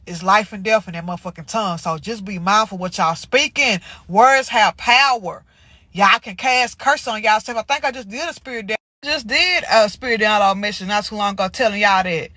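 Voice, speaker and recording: A woman's voice: medium pitch, bright, forceful tone, no room sound. A woman hosting a spiritual channel, talking casually and bluntly to her viewers with slang and profanity, sounding a little congested. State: congested from allergies.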